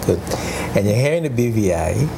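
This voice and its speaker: Deep-voiced host with steady hiss. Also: male voice, fairly quick, creaky. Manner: relaxed, frequent fillers. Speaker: TV show host. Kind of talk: studio interview. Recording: noisy TV recording.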